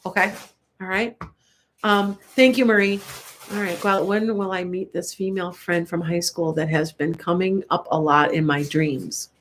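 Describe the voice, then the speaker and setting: A woman's voice: on the low side, steady tone, normal speed, slight background noise. An older woman with a Chicago accent, congested and coughing a little, chatting and rambling through a live tarot reading for viewers with frequent laughs. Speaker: tarot reader.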